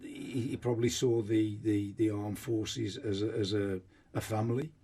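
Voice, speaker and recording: Mellow, slightly husky man interviewing. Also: lowish voice, steady tone, moderate pace. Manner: informal, ends remarks with tag questions. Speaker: interviewer, radio host. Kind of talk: conversational radio interview.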